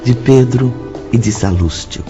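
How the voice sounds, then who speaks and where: A man narrating a scripted radio drama in a formal, measured manner. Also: mid-range voice. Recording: dull audio with faint music bed.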